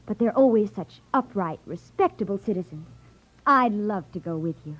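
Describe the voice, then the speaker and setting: An actress playing a spirited, outspoken, sarcastic secretary to a detective in a 1950s radio drama. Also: female voice, medium pitch, expressive, normal speed, lightly acted. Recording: dull lo-fi 1950s radio recording.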